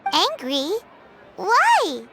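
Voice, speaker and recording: A woman's voice: very high voice, huge pitch swings, drawn-out words, noisy background, heavily acted. A woman voicing a tiny, cheeky non-human companion in a video game, blunt and teasing, over game music. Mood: surprised.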